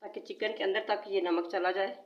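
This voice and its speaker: A woman's voice: medium pitch, steady tone, brisk, lo-fi sound. A woman with a sore throat, hosting a cooking channel and patiently explaining each step of a recipe in a home kitchen. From Pakistan. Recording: echoey room.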